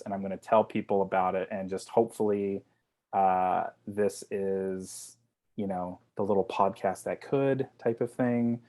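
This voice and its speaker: A man chatting casually and jokingly on a video call as a writer-director guest. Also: fairly low pitch. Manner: informal, joking. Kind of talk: casual chat.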